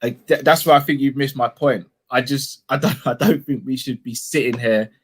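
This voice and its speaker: A man's voice: medium pitch, steady tone, normal speed, husky. A man hosting a casual fan podcast, reading out viewer comments in a laid-back, conciliatory way.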